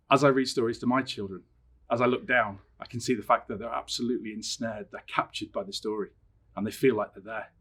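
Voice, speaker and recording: A middle-aged man speaks with a husky edge at a medium pitch. He sounds like a teacher introducing and reading a favourite storybook, leaning on key words with expressive, dramatic emphasis. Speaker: science teacher reading a favourite book aloud.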